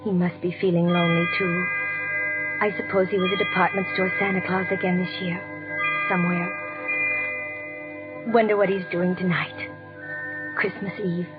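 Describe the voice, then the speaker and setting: A woman's voice: lowish voice, level tone, normal speed, long pauses, natural voice. An actress playing a regretful, tender young American wife and mother, earnest and emotive in 1940s radio drama style. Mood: remorseful. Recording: dull old radio broadcast, loud music underneath.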